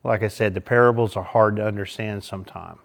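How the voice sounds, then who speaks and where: A man's voice: lowish voice, steady tone, fast, vocal fry. A man giving a short, informal talk at a small church, folksy and conversational, poking fun at himself as he goes. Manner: folksy, conversational, self-deprecating. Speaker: lay preacher.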